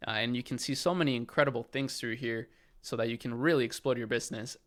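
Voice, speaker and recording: A man's voice: medium pitch, steady tone, fast talker, a bit bright. A young man from Chile talking casually to camera as an e-commerce channel host, upbeat and enthusiastic, with lots of fillers. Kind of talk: casual direct-to-camera talk.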